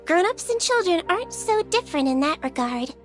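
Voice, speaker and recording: Little nurse girl for a game: very high, gentle, level-headed, with music underneath. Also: big pitch swings, a little fast. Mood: gently wise. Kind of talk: video game character dialogue.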